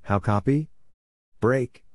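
A man reading short practice phrases clearly in a clipped, measured, word-by-word way, as for a Morse code drill. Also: mid-range voice, darkish tone.

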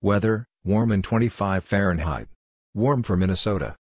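A low-voiced man narrates a practice drill in short scripted phrases. His words are deliberate and separate, and the sound is dry and close, with very little room. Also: slightly rough, dry recording. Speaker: Morse code practice narrator.

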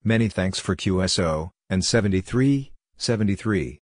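A man reading short scripted practice phrases as a drill narrator, deliberately spelling out letters and call signs one by one. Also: low voice, lively intonation, darkish tone, no room sound, clean studio sound.

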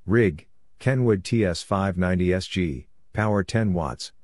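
A man reading out radio practice messages slowly in short, clipped phrases, as an instructor. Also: low-pitched, darkish tone, no room sound. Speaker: Morse code practice instructor.

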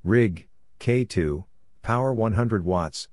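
A man reading scripted radio practice messages in short, separated, deliberate phrases, like an instructor. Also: on the low side, a little mellow, slight background noise. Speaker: amateur radio Morse code practice narrator.

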